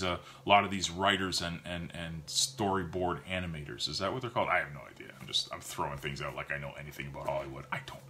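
Thirties man, bright and forceful tone, chatty vlog reviewer who restarts and repeats words. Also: on the low side. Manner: animated, gestures a lot, repeats words while thinking. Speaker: book reviewer. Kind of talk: casual book review vlog. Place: home office with bookshelves, indoors. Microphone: webcam at desk.

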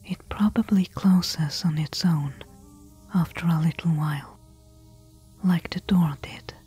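A woman playing a careful, eager temple explorer in a soft-spoken ASMR audio roleplay, hushed and deliberate. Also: sits low, slightly rough, soft background music, exaggerated voice. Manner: hushed, slow, deliberate whispering.

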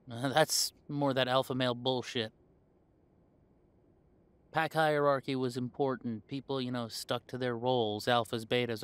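A man's voice: mid-range voice, fairly bright tone, smooth, natural voice. A man playing a young werewolf in a conversational audio roleplay, talking in a casual, earnest way. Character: easygoing young male werewolf, defensive with parents.

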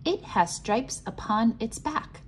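A woman reading a picture book aloud to children and explaining it simply, in a sing-song, teacherly way with clear enunciation. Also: fairly high pitch, expressive, takes it slowly, slight background noise. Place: indoors, at a table.

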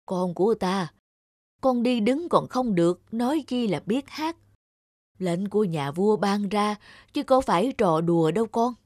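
A woman voicing a poor older mother in a cartoon fairy tale, gently remonstrating, doubtful and weary. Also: mid-range voice, lively intonation, unhurried, no room sound, clean studio sound. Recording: music under the voice.

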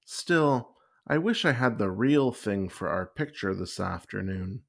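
A man voicing an anxious, excitable young schoolgirl in a children's storybook, given to high exclamations. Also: a little slow, vocal fry, full character voice. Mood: wistful.

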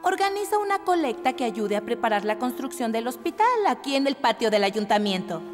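A woman's voice: fairly high pitch, animated, a little fast, big-room sound, music in the background, lightly acted. An actress playing a clever, proud young woman in a cartoon, poised, persuasive and pointed. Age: young adult. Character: clever, proud young woman, mayor's strong-willed daughter. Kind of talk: animated cartoon dubbing.

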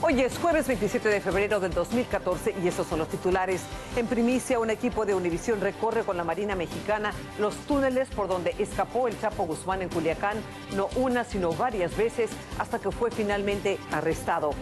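A woman's voice: medium pitch, animated, fairly quick. A woman anchoring TV news, reading scripted headlines in a studio. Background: music bed.

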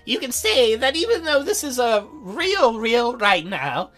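Visual novel demon girl, played by a woman: high and bright, shy, lonely, earnest, stammering, music underneath. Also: sing-song, unhurried, exaggerated voice. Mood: wistful. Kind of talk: visual novel character read aloud.

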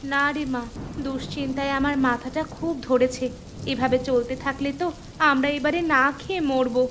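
A woman voicing a gentle, anxious village mother in a cartoon fairy tale, polite and homely. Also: high-pitched, fairly even intonation, normal speed, slight background noise. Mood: worried. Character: gentle, worried village mother, hospitable and poor.

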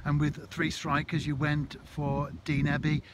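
A man interviewing a football manager after a match, asking brisk, prepared questions outdoors at night. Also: fairly high pitch, completely flat delivery, a loud crowd. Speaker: club TV interviewer.

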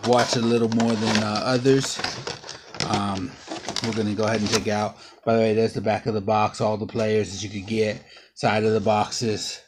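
A man in his thirties, bruised and sore after a fall, rambling through a casual haul vlog at home with plenty of ums and uhs, recorded by a close low-angle camera. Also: background noise. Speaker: vlogger. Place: living room at home.

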